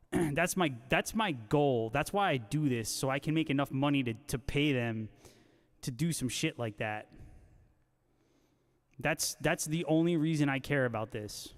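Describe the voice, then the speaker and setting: A man's voice: on the high side, unhurried. A man hosting a casual guitar livestream, reading out viewer comments and bantering with joking, slangy talk. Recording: long hall-like reverb on voice.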